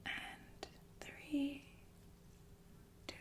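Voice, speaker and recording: A woman playing a softly menacing, falsely soothing killer nurse in a whispered ASMR roleplay, halting and casually polite. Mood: casually sinister. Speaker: ASMR performer.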